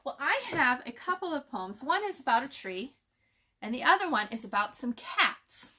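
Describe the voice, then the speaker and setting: A woman's voice: high-pitched, very animated, normal speed, sharp tone. A woman hosting a children's story time, explaining things informally and putting questions to her young listeners. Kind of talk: informal nature lesson for children, with read-aloud.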